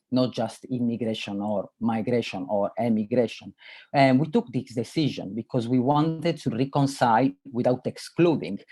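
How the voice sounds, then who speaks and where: Italian-accented man, mellow academic voice. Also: medium pitch, husky. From Sardinia, Italy. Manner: earnest, with frequent restarts and hesitations. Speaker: webinar co-host. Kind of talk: academic webinar presentation.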